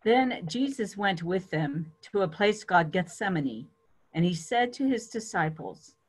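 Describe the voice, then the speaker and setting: A woman's voice: medium pitch, lively intonation, a little slow, husky, rough recording. A woman giving a dramatic reading of scripture as a church reader.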